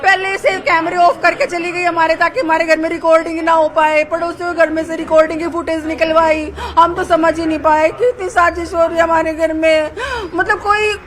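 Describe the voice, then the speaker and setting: Very high, piercing distraught older woman. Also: level tone, a little fast, hardly any pauses, a bit bright. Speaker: bereaved mother being interviewed. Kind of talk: emotional eyewitness account.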